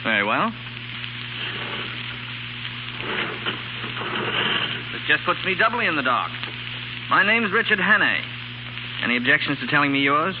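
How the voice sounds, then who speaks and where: An actor playing a gallant, skeptical young gentleman in a 1930s radio drama, with a wry, polite, brisk manner. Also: a little high, very animated. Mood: wry, puzzled. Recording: muffled, noisy 1930s radio broadcast.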